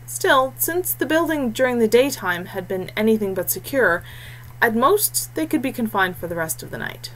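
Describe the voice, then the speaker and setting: A woman's voice: fairly high pitch, normal speed, low-quality recording. A woman reading a scripted fantasy story as an audiobook narrator. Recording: clear steady background noise and hum.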